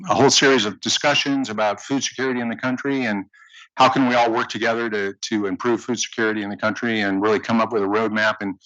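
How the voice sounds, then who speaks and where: A man director giving short opening remarks at a conference, halting and full of restarts and fillers. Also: fairly low pitch, a bit bright, dry recording. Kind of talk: informal welcome remarks. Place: conference hall stage. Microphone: podium microphone. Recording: dry, slightly dull conference audio.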